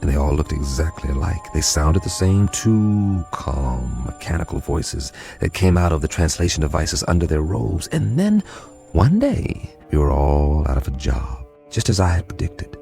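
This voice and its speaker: An actor playing a world-weary code breaker who narrates and talks with a dry, wry manner in a radio drama. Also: male voice, very deep voice, big pitch swings, moderate pace, gravelly, dark tone, faint music behind, heavily acted.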